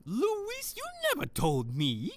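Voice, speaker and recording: A man's voice: high-pitched, huge pitch swings, slow pace, no echo, full character voice. An actor playing a fussy, sentimental man in a theatrical, effusive way, in a comic audio drama. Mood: hurt. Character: fussy, sentimental man from the old country, Hans.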